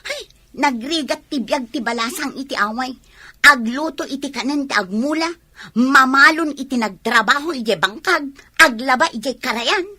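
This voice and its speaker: A young Ilocano-accented village woman, speaks in a high, bright voice with a husky edge. She is ambitious and stubborn, pressing every point as in a radio drama. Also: normal speed. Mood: complaining.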